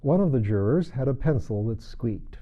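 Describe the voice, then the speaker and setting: A man reading a children's story aloud as an expressive narrator, on a dull recording. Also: medium pitch, normal speed. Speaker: audiobook reader.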